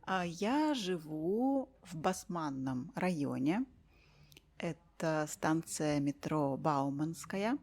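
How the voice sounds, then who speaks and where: A woman from Russia speaks as a guest in a slow, clear conversation for language learners. Her voice sits a little low, and she takes her time, with very slow pacing and gentle pauses full of soft fillers. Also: lively intonation. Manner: unhurried, with many thoughtful pauses and soft fillers.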